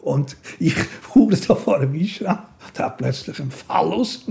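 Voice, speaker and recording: An older man with a Swiss accent and a creaky voice, telling anecdotes in short phrases in casual conversation. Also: fairly high pitch, lively intonation, measured pace, mellow. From Switzerland. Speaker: interview guest. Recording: clear ambient background noise.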